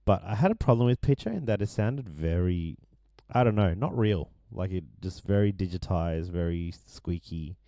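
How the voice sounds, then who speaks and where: A man with a deep voice that is going hoarse, casually walking through his vocal mix with many ums and restarts. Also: expressive, normal speed, dark tone, no room sound, clean studio sound. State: losing his voice. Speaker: music producer explaining his mix. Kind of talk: casual screen-share tutorial.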